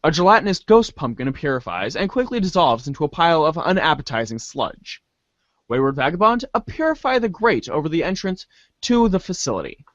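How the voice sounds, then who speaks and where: Male narrator for a comic reader's theater, highish voice, mock-grand delivery, dry and close sound. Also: very animated, a little fast, a bit bright, no room sound, highs cut off. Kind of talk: dramatic narration of a comic adventure.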